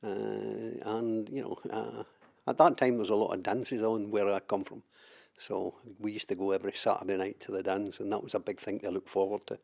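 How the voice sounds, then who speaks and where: A man's voice: on the low side, little pitch movement, fairly quick, vocal fry, a little mellow. An older Scottish man from southwest Scotland, recalling his life in an oral history interview, hesitant with many fillers and repeated words. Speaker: interviewee, trade unionist.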